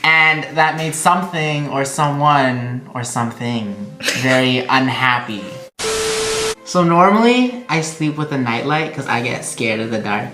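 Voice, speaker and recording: A woman's voice: rumbling low, lively intonation, measured pace, a bit bright, faint music behind. A young woman chatting casually as she tells a story to friends, animatedly acting out quoted dialogue. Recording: roomy echo.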